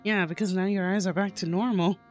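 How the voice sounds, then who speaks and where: A woman's voice: medium pitch, steady tone, fairly quick, darkish tone, soft background music. A woman streamer casually reacting to a game with wry remarks.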